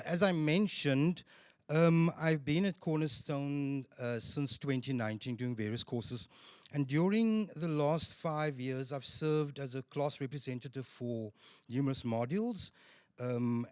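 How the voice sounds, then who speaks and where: A man with a loud, carrying voice addresses a panel audience from a stage. He talks in a measured, formal way, often pausing on "um", speaking into a handheld mic. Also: medium pitch. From South Africa. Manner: measured, formal, with frequent ums. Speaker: student representative speaker. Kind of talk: panel presentation. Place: event stage.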